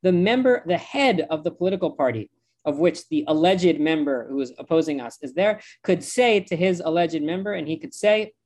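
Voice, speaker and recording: A man's voice: fairly high pitch, animated, normal speed, a little mellow. Emphatic American-accented man, rabbi, interview guest. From United States. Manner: impassioned, emphatic.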